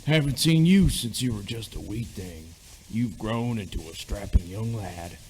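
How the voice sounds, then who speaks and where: A man's voice: lowish voice, expressive, unhurried, darkish tone, rough recording. A man playing a reluctant middle-aged sergeant major in an amateur radio play, speaking hesitantly in old-fashioned phrases. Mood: genial.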